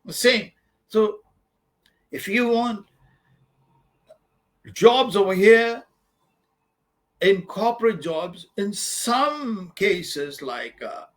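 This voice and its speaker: A man with a fairly high voice gives informal advice in a question-and-answer session. He is emphatic and blunt and keeps repeating his points. He often calls his listeners "man". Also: animated, very slow, long pauses. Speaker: study-abroad advisor answering student questions.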